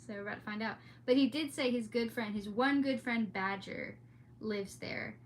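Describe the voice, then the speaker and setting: A woman's voice: on the high side, moderate pace, slight background noise. A woman streamer chatting in a casual, rambling, exclamatory way, easily sidetracked. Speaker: streamer hosting a read-aloud. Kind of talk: casual rambling vlog chat.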